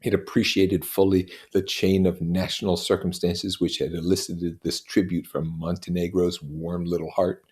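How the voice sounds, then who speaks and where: A man reading literary fiction aloud as an expressive narrator, with deliberate, dramatic phrasing. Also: low voice.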